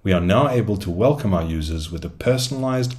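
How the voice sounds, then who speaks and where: A man's voice: low voice, animated, fairly quick, darkish tone. A man presenting a product demo as a solution engineer, reading scripted tutorial narration in an upbeat, salesy way. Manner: upbeat, salesy phrasing with rhetorical questions.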